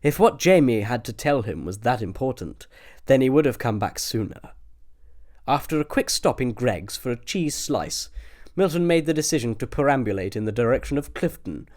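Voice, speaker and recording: A man's voice: mid-range voice, a little mellow. A man with a British accent reading scripted comic story narration as an audiobook narrator.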